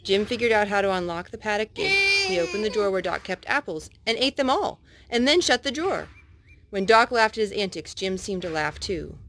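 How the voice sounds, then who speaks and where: A woman reading a children's story aloud as a storytime host, expressive, as if to a child. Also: mid-range voice, a little fast, a little hiss or hum. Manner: expressive, chatting to a child between passages.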